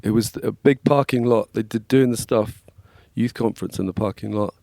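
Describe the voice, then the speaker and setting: An English man in his forties with a slightly scratchy voice, chatting casually as a podcast guest and telling stories in a relaxed way. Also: on the low side, normal speed, creaky, no room sound. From England. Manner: relaxed, storytelling, frequent "yeah, man". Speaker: guest, worship label manager. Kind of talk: casual conversation.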